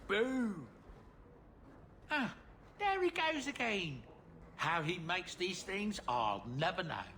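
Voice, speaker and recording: A man's voice: sits high, very animated, takes it slowly, bright, forceful tone, slight background noise, full character voice. An actor playing a genial, fussy middle-aged male dwarf merchant in a video game, chatty and affable. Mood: fond, amused.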